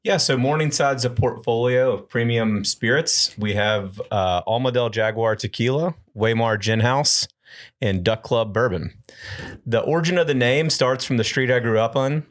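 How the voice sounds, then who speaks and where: A man from Memphis, answering interview questions in a measured, businesslike way. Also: mid-range voice. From Memphis, Tennessee. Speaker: guest, company founder.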